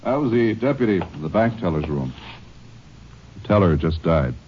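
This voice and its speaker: Matter-of-fact doctor; deep, lo-fi sound. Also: male voice, very animated, normal speed, noisy background. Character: calm male small-town doctor. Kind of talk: 1940s radio acting. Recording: dull old radio recording.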